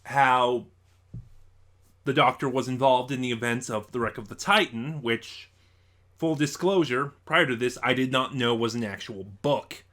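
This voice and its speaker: A man hosting a casual spoken review, talking in halting phrases with thoughtful pauses. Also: mid-range voice, fairly bright tone. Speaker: reviewer, channel host.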